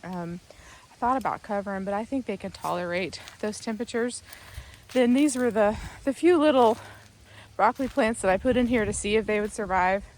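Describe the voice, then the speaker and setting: A woman, slightly raspy from a sore throat, chatting casually through a garden vlog while filming outdoors on her phone. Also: medium pitch, brisk, a little mellow. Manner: chatty, rambling with many ums. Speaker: vlogger, gardening channel host. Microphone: phone held in hand.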